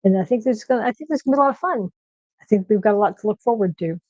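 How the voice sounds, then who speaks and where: A woman with a soft nasal voice chatting casually on a livestream from her kitchen, with lots of fillers and restarts. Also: mid-range voice, animated, rapid-fire, dry recording, dull audio. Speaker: streamer host. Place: home kitchen.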